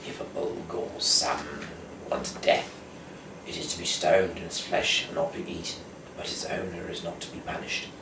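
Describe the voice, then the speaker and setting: A man from the UK who speaks haltingly and stumbles over words as an amateur host and reader. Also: low-pitched, flat delivery. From United Kingdom. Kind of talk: casual rambling talk, then reading scripture aloud. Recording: echoey room, distant mic, clear background noise.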